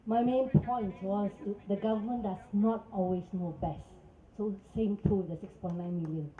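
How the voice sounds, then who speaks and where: A woman's voice: medium pitch, fairly even intonation, normal speed, roomy sound, narrow, tinny audio. A middle-aged Singaporean woman with a Singaporean English accent, a native Cantonese speaker, giving an earnest, impassioned answer at a press conference. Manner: earnest, rising to emphatic peaks.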